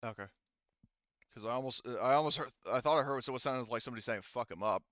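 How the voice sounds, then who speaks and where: A man's voice: medium pitch, fast, fairly bright tone, no echo, highs cut off. A man acting as game master, casually narrating a tabletop game and muttering to himself as he checks rules and counts.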